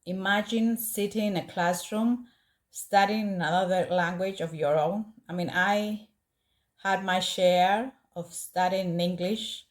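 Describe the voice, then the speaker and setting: A woman with a Greek accent, a native Greek speaker, hosting a podcast and narrating a true story in an earnest, measured way. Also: mid-range voice, fairly even intonation. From Greece. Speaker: podcast host.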